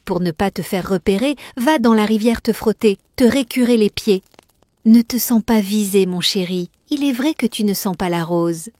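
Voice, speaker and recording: A woman's voice: medium pitch, moderate pace, dark tone, no room sound, lightly acted. A woman playing a bossy but fond mother in a children's cartoon fairy tale, scolding and coaxing.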